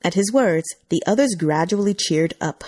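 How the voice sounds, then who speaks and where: A woman's voice: on the low side, animated, moderate pace, a little mellow, dry recording, clean studio sound. A woman reading scripted fiction as an audiobook narrator.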